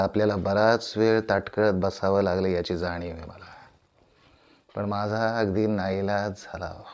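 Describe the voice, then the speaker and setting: A man acting a proud, aging veteran stage actor in a theatrical monologue, grandiloquent and deliberate, with dramatic pauses and repeated phrases. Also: fairly low pitch, clean tone, muffled recording, natural voice. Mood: apologetic, dignified. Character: proud, aging veteran Marathi stage tragedian addressing his audience.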